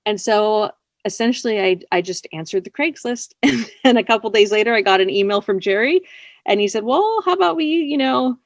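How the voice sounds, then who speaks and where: A woman's voice: a little high, lively intonation, normal speed. A woman chatting casually as an interview guest, a writer with a self-deprecating, chatty manner. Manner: chatty, self-deprecating, often laughing lightly as she talks.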